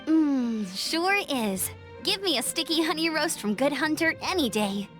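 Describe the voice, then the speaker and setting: Bright-spirited, high-voiced young scout girl. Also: lively intonation, a little slow, soft background music. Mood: cheerful, fond. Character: bubbly, loyal young female scout, eager and friendly. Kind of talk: anime video game voice acting.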